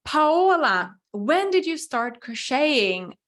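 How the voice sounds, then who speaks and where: A young woman, speaking English as an at a slow, easy pace. She answers viewer questions through a computer mic, pausing to think aloud with frequent ums and small restarts. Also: sits high, big pitch swings, fairly bright tone. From Finland. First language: Swedish. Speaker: webinar host, crochet designer. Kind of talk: live webinar Q&A.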